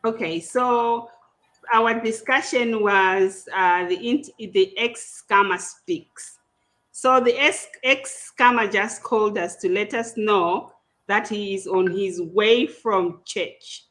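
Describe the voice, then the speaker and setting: A woman with a Zimbabwean accent, a native Shona speaker, hosting an online panel in a deliberate, explanatory, conversational way. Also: medium pitch, fairly bright tone. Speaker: podcast host. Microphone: video call.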